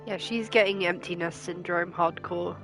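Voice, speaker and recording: A woman's voice: lowish voice, fairly even intonation, normal speed, gravelly. A woman game streamer giving blunt, sardonic, casual live commentary, with game music behind.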